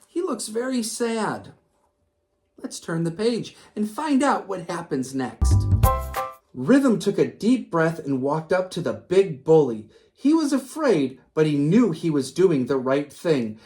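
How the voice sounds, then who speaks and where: Slow, expressive woman reading to children. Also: low-pitched, faint music behind. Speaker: children's story reader and host. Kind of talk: expressive read-aloud narration with simple lessons for children.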